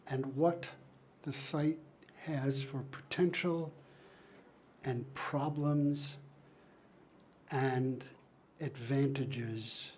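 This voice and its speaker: An older man, an architect, presenting plans at a public meeting in a soft, unhurried, folksy way, moving around away from the microphone. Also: on the high side, a little hiss or hum. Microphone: shared microphone, moving away from it.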